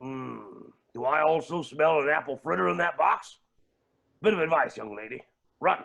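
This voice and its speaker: Man playing a sharp, gravelly billionaire villain. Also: fairly high pitch, normal speed. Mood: smug, sinister. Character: sinister, smug Canadian billionaire villain, gleefully menacing. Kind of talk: staged reading of a comic play.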